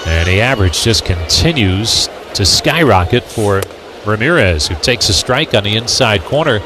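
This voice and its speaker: A man's voice: fairly low pitch, animated, moderate pace. An American man calling a baseball game as a radio play-by-play announcer. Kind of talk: live radio sports commentary. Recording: clear background noise from outdoor ballpark.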